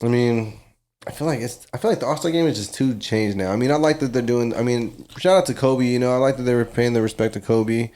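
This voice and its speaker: A man's voice: medium pitch, quick pace, a little mellow. A man co-hosting a podcast, rambling in casual chat and hedging his opinions. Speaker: podcast co-host.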